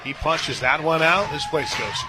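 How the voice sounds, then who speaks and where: An American man calling a softball game on radio, chatting in a folksy, conversational way and punching up each pitch. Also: animated, moderate pace. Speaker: play-by-play announcer. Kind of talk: live softball radio commentary with casual banter. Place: stadium radio booth. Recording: outdoor stadium crowd noise behind broadcast.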